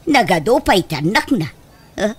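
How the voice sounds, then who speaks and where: An actress playing an envious, scheming, gossipy village woman in an Ilocano comedy radio drama, with exclamatory, drawn-out theatrical reactions. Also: medium pitch, big pitch swings, breathy edge. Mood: skeptical musing.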